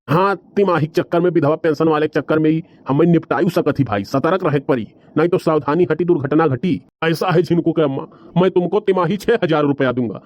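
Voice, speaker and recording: A man's voice: fairly high pitch, no room sound, muffled recording, lightly acted. A man voicing an argumentative, excuse-making village husband with an Awadhi accent in a cartoon comedy. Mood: wary, quibbling. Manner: rambling, bantering, colloquial.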